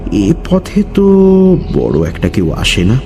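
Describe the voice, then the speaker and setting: A man narrating a scripted horror story in a dramatic, suspenseful way, with deliberate pauses. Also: low voice, wildly swooping pitch, gravelly, darkish tone, soft background music.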